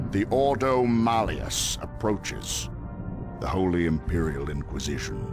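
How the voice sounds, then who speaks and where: An actor playing a grave, weary space marine warrior, narrating a game cutscene in a solemn, measured, ominous way with a gravelly voice. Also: male voice, deep voice, exaggerated voice. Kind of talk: dramatic scripted cutscene narration. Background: music.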